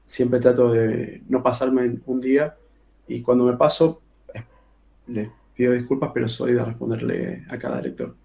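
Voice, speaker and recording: A man's voice: mid-range voice, moderate pace, creaky, warm tone. A man with a Rioplatense Spanish accent, an author talking casually in an interview, colloquial, with many filler sounds and restarts. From Argentina. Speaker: author guest.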